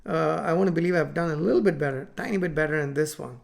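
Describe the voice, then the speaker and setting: Guy in his 40s, tamil native speaker, webcam talk from home office, lots of uh and restarts, bit high voice. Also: quick pace, vocal fry. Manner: rambling, many fillers and restarts, gesturing with both hands. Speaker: software company founder teaching coding. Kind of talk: unscripted talk to camera. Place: home office with plant and photos on wall.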